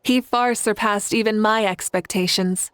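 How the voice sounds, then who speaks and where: A woman's voice: medium pitch, fairly even intonation, moderate pace, a bit bright, clear, resonant tone, no echo, natural voice. A woman playing a confident, benevolent warrior leader in an anime game, composed and encouraging. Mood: calm, admiring.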